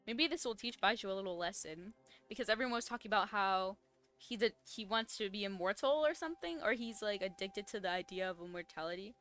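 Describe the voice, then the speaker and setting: A woman's voice: mid-range voice, expressive, fast talker, fairly bright tone. A woman gamer giving casual, slangy live reactions, blunt and often incredulous. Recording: game music behind commentary.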